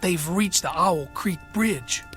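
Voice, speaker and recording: An actor playing a weary, polite army corporal in a radio drama, speaking haltingly and with deference. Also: male voice, high-pitched, a bit bright, soft background music. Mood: grave.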